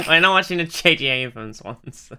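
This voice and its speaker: A man co-hosting a podcast, chatting casually about sci-fi in an animated, excitable way, with some swearing. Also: a little high, moderate pace, clean tone. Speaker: podcast co-host.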